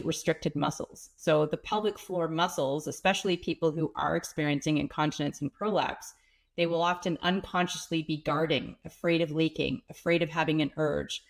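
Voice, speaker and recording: A woman with a cold and a slightly stuffy, nasal voice, a pelvic health expert calmly explaining things as an interview guest. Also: low-pitched, vocal fry. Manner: earnest, explanatory, some restarts. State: has a cold, sniffling.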